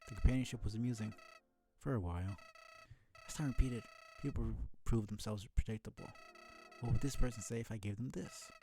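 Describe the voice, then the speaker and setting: A man voicing a bored, manipulative killer flower from a video game, reading its monologue in a deliberate, brooding, theatrical way. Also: mid-range voice, huge pitch swings, mellow, a little hiss or hum. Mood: smug, detached. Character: sinister talking flower, bored, manipulative, world-weary killer. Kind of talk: video game dialogue read aloud. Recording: music behind the voice.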